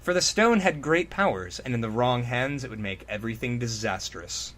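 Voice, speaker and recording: A man's voice: medium pitch, animated, moderate pace, fairly bright tone, slight background noise. A man co-hosting a comic story reading, narrating dramatically and breaking into mocking, exclamatory, sweary asides. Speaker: podcast co-host and reader.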